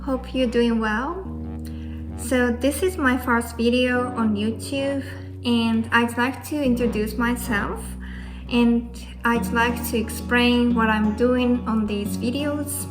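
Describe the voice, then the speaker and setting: A woman from Japan introducing her channel as host, speaking slowly and carefully in a friendly way. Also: a little high, rough recording. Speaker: host, pattern maker. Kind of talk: scripted intro to camera. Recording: music playing under voice.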